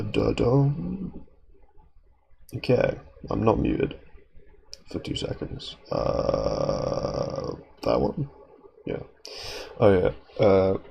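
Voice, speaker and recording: A man's voice: fairly low pitch, gravelly, dull audio, slight background noise. A man streaming from home, a little nasal while recovering from an illness, rambling to himself as he sets up his stream. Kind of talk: casual stream setup chatter.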